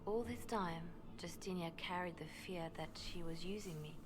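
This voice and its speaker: A woman's voice: fairly low pitch, normal speed, natural voice. An actress playing a ruthless but wounded woman spymaster in a fantasy video game. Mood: hurt, reflective. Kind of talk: dramatic fantasy game acting. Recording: loud game music under voice.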